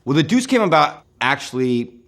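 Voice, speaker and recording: A man in his thirties from Northern California, telling relaxed stories in an interview with lots of fillers. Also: a little high, normal speed, vocal fry. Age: 30s. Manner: relaxed storytelling with frequent fillers. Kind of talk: casual anecdotal interview.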